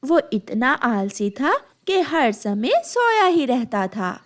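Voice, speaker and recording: A woman's voice: sits high, sing-song, slow. A woman narrating a scripted children's story as its storyteller.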